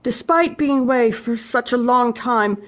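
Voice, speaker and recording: A woman's voice: a little high, unhurried, a bit bright. A woman playing an anxious, refined widowed mother in a radio drama, telling her story earnestly.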